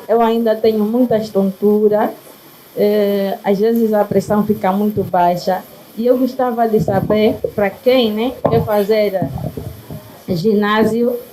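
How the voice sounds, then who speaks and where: A woman's voice: mid-range voice, mellow, slight background noise, poor audio. A woman in an audience asking an unscripted personal question, searching for her words.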